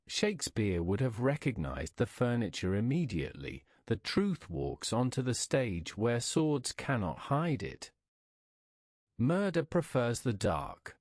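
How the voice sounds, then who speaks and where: A man reading a scripted bedtime story in a measured, wry storytelling manner. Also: medium pitch, darkish tone, no echo, clean studio sound.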